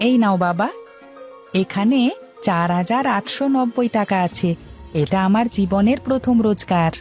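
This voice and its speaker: A woman's voice: medium pitch, normal speed, a little mellow, faint music behind, close to an everyday voice. A woman voicing an earnest, dutiful young village boy in a cartoon story. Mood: proud.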